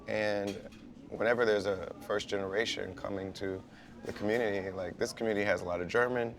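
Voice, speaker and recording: Low-voiced man over restaurant chatter. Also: steady tone, brisk, creaky. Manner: earnest, persuasive, speaks in building phrases. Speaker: guest in casual lunch chat. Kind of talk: casual conversation. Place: busy restaurant. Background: restaurant crowd chatter.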